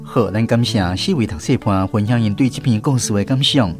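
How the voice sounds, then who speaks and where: A man's voice: lowish voice, brisk. A man with a Taiwanese accent hosting a radio programme, introducing segments in a scripted style. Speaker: radio host. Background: guitar music.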